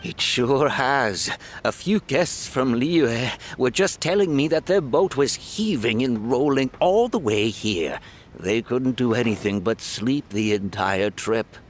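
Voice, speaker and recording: A man's voice: mid-range voice, expressive, slow pace, slight background noise. A man voicing a friendly, chatty restaurant owner in a video game, hospitable toward his guests.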